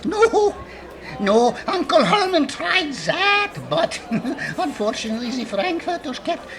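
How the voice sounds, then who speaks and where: A man's voice: very high-pitched, sing-song, unhurried, fairly bright tone, full character voice. A man playing a cheery, chuckling hot dog vendor with sing-song, quaint phrasing in an old-time radio comedy. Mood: jolly. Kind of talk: 1940s radio comedy acting. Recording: live audience, laughing crowd behind.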